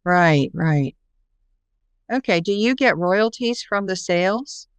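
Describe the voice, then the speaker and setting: A woman's voice: lowish voice, lively intonation, slow pace, clear, resonant tone, no echo. A woman hosting a conversational interview, responding in an encouraging, affirming way. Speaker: interview host.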